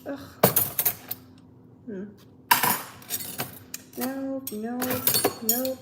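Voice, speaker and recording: A woman's voice: sits high, steady tone, very slow, very noisy. A young woman with a German accent chatting casually with her live stream viewers while she washes dishes in her kitchen. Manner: chatty, talks to chat while washing dishes. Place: home kitchen. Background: clattering dishes and cutlery.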